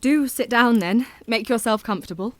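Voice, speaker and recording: A woman's voice: fairly high pitch, normal speed, dry recording, lightly acted. A woman playing a frank, troubled but resolute Victorian wife in an audio drama, speaking formally and earnestly. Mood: polite, guarded.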